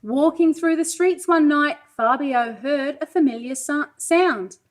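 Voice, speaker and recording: Lady doing storytime for preschoolers, high voice, unhurried, sing-song and chatty, bit of background noise. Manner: expressive, sing-song, questioning young listeners. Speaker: pre-school teacher reading a storybook aloud. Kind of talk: children's storybook reading with asides to young listeners.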